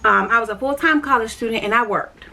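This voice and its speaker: Woman vlogger, bright tense voice, slightly high, candid, rambling with fillers. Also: fast, creaky, a little hiss or hum, rough recording. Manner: rambling, repeats herself, frequent fillers; candid, talks straight to viewers. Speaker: vlogger sharing her spiritual journey. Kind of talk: casual personal storytelling.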